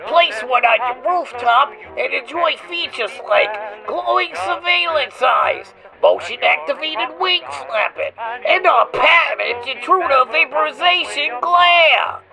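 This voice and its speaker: A woman playing a dramatic, creaky-voiced pitchwoman in an eerie 1940s-style radio advertisement, theatrical and emphatic. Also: expressive, slow pace, hardly any pauses, exaggerated voice, rough recording. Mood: emphatic, salesy. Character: dramatic female pitchwoman selling an eerie home-defense gadget. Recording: music behind the voice.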